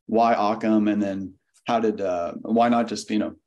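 A man's voice: lowish voice, fairly even intonation, normal speed, a little mellow, muffled recording. A young man interviewing a guest over a video call, asking long, rambling questions full of fillers. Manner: rambling, many fillers and hedges.

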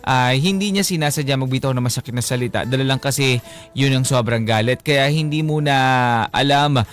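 A man's voice: medium pitch, a little fast. A Filipino man co-hosting a radio show with casual, joking banter and interjections. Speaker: radio co-host. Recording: radio studio with music underneath. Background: background music.

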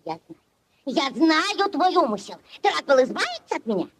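Shrill, scolding village woman. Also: big pitch swings, moderate pace. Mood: outraged. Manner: shrill, berating. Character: furious, scolding village sister-in-law. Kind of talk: 1940s radio play acting. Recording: old noisy 1940s radio recording.

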